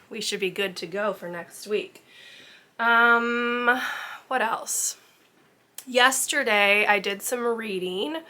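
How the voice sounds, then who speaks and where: A young woman who teaches kindergarten, chatting casually at home in a vlog, her voice a little congested. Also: medium pitch. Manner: chatty and relaxed, explaining things as she goes.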